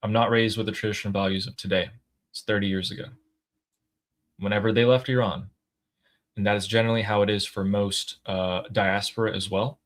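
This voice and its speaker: A man co-hosting a live stream, reading viewer messages aloud and thinking out loud in a casual, chatty way. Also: lowish voice, fairly even intonation, brisk. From United States. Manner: chatty, thinks aloud with fillers and throat clearing. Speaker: co-host reading viewer super chats.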